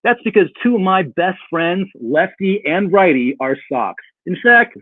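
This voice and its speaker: Guy doing a socal surfer dude, high husky voice, goofy slacker storyteller. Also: sing-song, unhurried, no room sound, exaggerated voice. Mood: chummy. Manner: goofy, mellow slacker talk. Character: laid-back SoCal surfer dude narrator with guitar. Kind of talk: puppet show narration. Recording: tinny phone-like audio, guitar behind.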